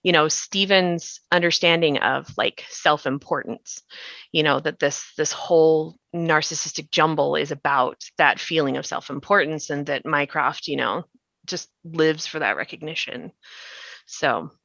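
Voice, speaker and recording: Dull-sounding, low-voiced woman chatting. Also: measured pace, gravelly, fairly bright tone, no room sound. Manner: discursive, peppers speech with "you know". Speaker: podcast host and interviewer. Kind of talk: casual conversational interviewing. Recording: dull, lo-fi dry audio.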